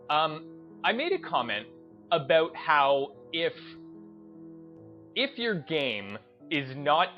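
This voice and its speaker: A man gives off-the-cuff commentary as a gaming video host, taking his time at an unhurried pace. He is reflective and halting, often circling back to reword a point. The sound is a little dull, with music playing behind him. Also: on the high side. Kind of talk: unscripted video commentary over gameplay.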